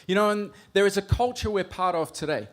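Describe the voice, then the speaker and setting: A missionary from England preaching in short phrases, pausing after each for his interpreter. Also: high-pitched, lively intonation. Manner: short phrases paused for translation. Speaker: missionary speaker. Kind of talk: sermon through an interpreter. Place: church hall.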